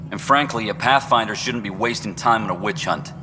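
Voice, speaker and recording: A man's voice: on the low side, brisk, in a large hall, background noise, close to an everyday voice. A man playing a smug, dismissive official. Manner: smooth, dismissive. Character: smug male assistant director, slippery and dismissive.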